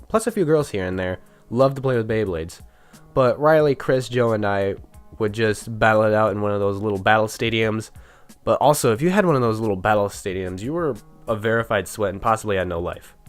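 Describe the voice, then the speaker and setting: A young man with a nasal, stuffy voice from being sick, telling a joking, chatty story about his school days with small stumbles. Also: lowish voice. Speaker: YouTuber. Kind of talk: casual storytime narration.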